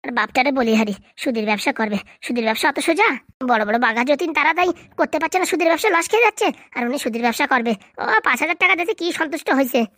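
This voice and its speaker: Woman playing a shrill, fast, scolding daughter. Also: lively intonation, a bit bright, dry recording, clean studio sound. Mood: scolding, sarcastic. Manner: sarcastic, nagging. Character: sharp-tongued, scolding grown daughter of an old moneylender. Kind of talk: comedy cartoon dialogue.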